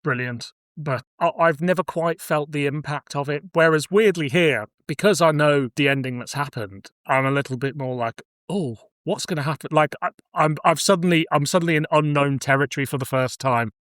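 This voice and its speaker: A man's voice: fairly high pitch, fairly quick, a bit bright, no echo. Conversational male podcast host, dry sound. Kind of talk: casual discussion.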